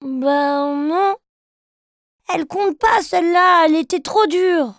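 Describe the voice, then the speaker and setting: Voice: very high-pitched, slow pace, a bit bright, no room sound, clean studio sound, full character voice. A performer voicing an eager, playful little boy bear cub in a children's cartoon, with a childlike singsong manner. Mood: curious. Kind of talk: children's cartoon dialogue and rhymes. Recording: music behind the voice.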